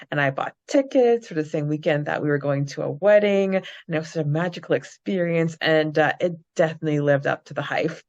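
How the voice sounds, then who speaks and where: A woman's voice: deep voice, a little mellow, highs cut off. A young adult woman co-hosting a fan podcast in a casual, chatty style. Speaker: podcast co-host.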